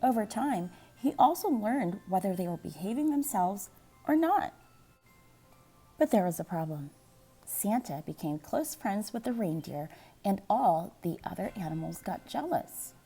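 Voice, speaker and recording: A woman reading a children's picture book aloud in an animated, measured storytelling manner with dramatic pauses, like a school librarian. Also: mid-range voice, husky, soft background music.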